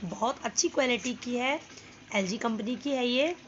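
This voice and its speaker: A young woman with a North Indian accent and a hoarse voice from a cold, casually explaining a household chore step by step for her home vlog. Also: a little high, moderate pace, dull audio, background noise. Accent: Hindi-speaking North Indian. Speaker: home vlogger. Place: home, by a washing machine outdoors.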